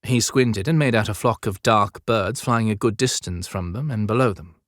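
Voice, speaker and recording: A man's voice: lowish voice, normal speed, fairly bright tone, no room sound. Male audiobook narrator, close and dry. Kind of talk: scripted narration, dramatic fantasy storytelling.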